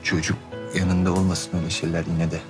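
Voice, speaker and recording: Brief: gruff, reserved man actor, low voice, colloquial and halting, naturalistic TV drama over music. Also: level tone, close to an everyday voice. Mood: awkward, tender.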